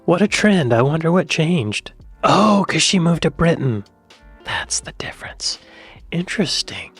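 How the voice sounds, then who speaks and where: An American man hosting a reaction video in casual commentary, with a soft, quiet voice and a musing manner that trails off and repeats itself. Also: fairly high pitch, sing-song, a little slow, vocal fry, husky, no room sound, soft background music. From United States.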